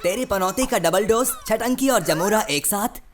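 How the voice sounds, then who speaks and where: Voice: medium pitch, normal speed, music in the background, natural voice. A performer voicing a wisecracking cartoon sidekick who teases and comments in punning, filmi one-liners. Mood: mocking. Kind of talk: Hindi comedy cartoon voice acting.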